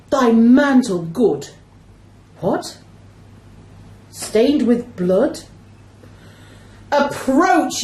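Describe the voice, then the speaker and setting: A woman playing a lovestruck male ham actor in an amateur Shakespeare radio play, declaiming overwrought, drawn-out verse. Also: sits high, a little mellow, heavily acted. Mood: shocked, anguished. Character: lovestruck melodramatic male amateur actor hamming a tragic hero. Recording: echoey room.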